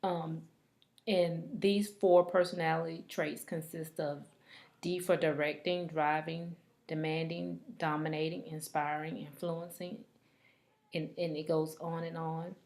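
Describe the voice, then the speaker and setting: A woman reading a scripted presentation aloud like a student, in a halting, deliberate manner. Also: deep voice, flat delivery, a little mellow.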